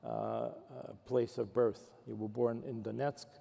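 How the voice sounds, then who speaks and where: Very gravelly mellow older man moderating. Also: medium pitch, sing-song, measured pace. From Odessa, Ukraine. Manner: hesitant, stop-and-start phrasing. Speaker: interviewer, moderator. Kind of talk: on-stage interview. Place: large hall at a summit. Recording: reverberant hall.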